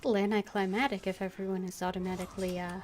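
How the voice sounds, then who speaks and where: A woman's voice: mid-range voice, flat delivery, rapid-fire, darkish tone, a little hiss or hum. A woman streaming a fantasy role-playing game, thinking aloud in short remarks as she plays. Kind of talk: gaming commentary with in-character reading aloud.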